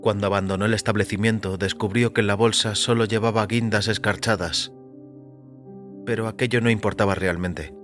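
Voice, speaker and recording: A man narrates audio fiction in a fairly low voice. His delivery is measured and deliberate, like a storyteller reading in the first person. Soft music plays underneath. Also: fairly even intonation. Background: background music.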